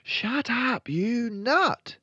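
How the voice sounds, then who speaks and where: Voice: mid-range voice, animated, extremely slow, bright, forceful tone, breathy edge, dry recording, highs cut off, voice pushed up, exaggerated voice. A narrator voicing a clever, determined little girl in a storybook. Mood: cross.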